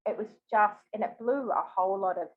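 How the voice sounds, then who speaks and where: A woman's voice: medium pitch, brisk. Chatty New Zealand woman, slightly muffled sound. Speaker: podcast guest. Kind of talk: casual interview storytelling.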